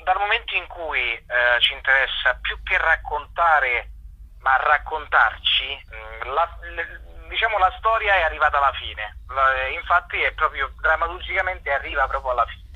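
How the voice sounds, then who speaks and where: A man, an actor and director giving an informal interview as a guest, expressive and halting as he explains things with homely examples. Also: a little high, slightly rough, lo-fi sound.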